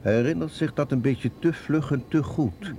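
An actor playing a suspicious colonel and security chief in a radio play, speaking formally, with authority and in measured phrases. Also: male voice, fairly high pitch, mellow, slight background noise, close to an everyday voice. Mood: commanding, wary. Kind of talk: Dutch radio drama acting.

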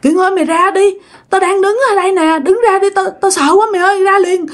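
A woman storyteller plays a frightened uncle in a ghost story, his voice pitched very high and swinging widely. He is desperate and badly shaken, saying the same short pleas over and over. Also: big pitch swings, fast, a bit bright, exaggerated voice. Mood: panicked pleading. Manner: repeats pleas over and over in panic. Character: terrified uncle shaken by a ghost, pleading for rescue.

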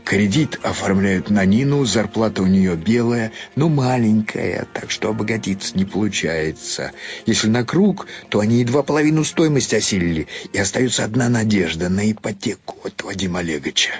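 Deep-voiced male story narrator. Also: animated, fairly quick, faint music behind. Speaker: narrator reading a short story. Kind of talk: dramatised literary narration.

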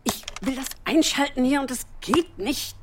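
A desperate mother in a radio drama. Her high voice is agitated and pleading. She sounds distant from the mic amid clear noise. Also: expressive, normal speed.

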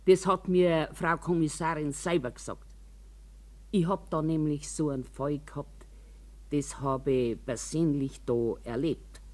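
Slow, grumbling old Bavarian woman. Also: low voice, steady tone, a little mellow. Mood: wary, aggrieved. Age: older. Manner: rambling, complaining, confiding, with emphatic asides. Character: grumbling, wary old woman living in a care home. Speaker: actor in a radio monologue. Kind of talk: radio drama monologue in colloquial speech.

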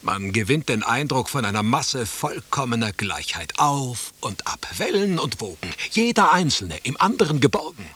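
An actor playing a sly, deferential companion in a radio play, needling with formal courtesy and poetic phrases in a raspy voice. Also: male voice, mid-range voice, big pitch swings, moderate pace, hardly any pauses, pushed and bright, heavily acted. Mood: poetic, ominous. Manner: formal, courteous, taunting, declamatory.

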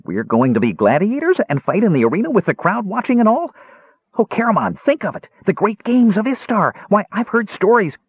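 A man voicing an excitable, naive young kender adventurer in a fantasy audiobook, eager and exclamatory. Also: high voice, a little fast, breathy edge, no echo, phone-quality sound, pitched above the performer's own voice, full character voice. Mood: excited wonder.

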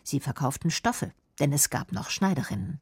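A woman's voice: low voice, big pitch swings, normal speed, husky. A woman reading scripted radio documentary narration and translations as a voice-over.

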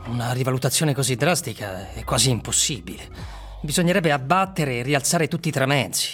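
A man's voice: medium pitch, brisk, fairly bright tone, faint music behind, lightly acted. An actor playing a precise, sceptical male city tenant in an Italian radio drama. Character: John Ward, male cramped city tenant, sceptical and matter-of-fact.

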